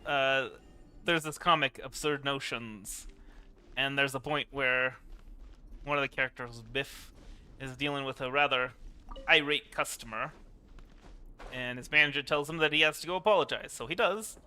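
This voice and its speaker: A man's voice: mid-range voice, pushed and bright, smooth. A man giving casual, rambling live commentary as a game streamer, with wry asides.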